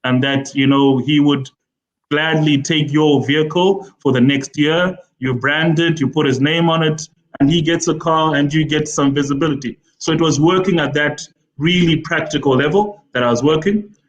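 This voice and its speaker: A man from Zimbabwe in his forties, a football development official, explaining his career at a measured pace in a live online interview, with frequent fillers. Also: fairly high pitch, steady tone, highs cut off. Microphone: video call.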